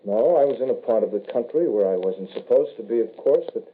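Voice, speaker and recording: An actor playing a young soldier returned from the grave in 1940s radio horror, subdued and measured, on a tinny old recording. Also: male voice, mid-range voice, expressive, slight background noise, close to an everyday voice. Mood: grave confessing. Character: somber, reluctant dead soldier son returned from grave.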